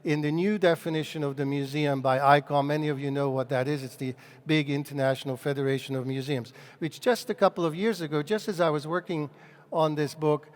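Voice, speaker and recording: A man's voice: a little high, fairly even intonation, brisk. A man from Hungary, giving a public lecture in English and often restarting his phrases as he thinks. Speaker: guest lecturer. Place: large lecture hall. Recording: long reverb of a large hall.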